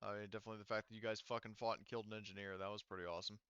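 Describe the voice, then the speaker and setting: Bright, clear-voiced man running a game. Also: on the low side, level tone, racing, no room sound, dull audio. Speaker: game master. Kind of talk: casual tabletop game rules talk.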